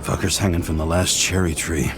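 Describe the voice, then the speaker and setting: An actor playing a wry, stubborn, world-weary police detective in a video game, with a dry, laconic delivery. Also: male voice, sits low, flat delivery, a little fast, loud music behind the voice, close to an everyday voice. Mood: grim, blunt. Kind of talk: video game dialogue acting. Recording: loud vehicle and ambience noise.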